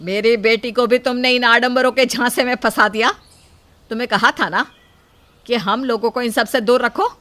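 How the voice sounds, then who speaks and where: Woman voicing an angry, shrill-bright mother-in-law. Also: moderate pace. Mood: angry, threatening. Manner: scolding, threatening. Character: angry, domineering middle-aged mother-in-law.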